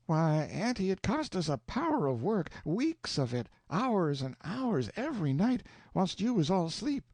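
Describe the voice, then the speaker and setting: A man voicing an excitable, boastful young country boy who rattles off his exploits eagerly. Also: high voice, measured pace, no room sound, voice pushed up, full character voice.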